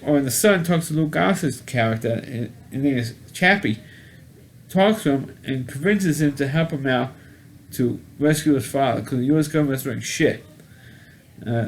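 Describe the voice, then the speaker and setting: A man talks through a movie review in a loose, rambling way, doubling back on his words and starting sentences over. His voice sits a little high for a man, and he is blunt, with the odd mild curse. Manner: rambling, with many restarts and repeated words; blunt and mildly profane. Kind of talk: casual spoken movie review.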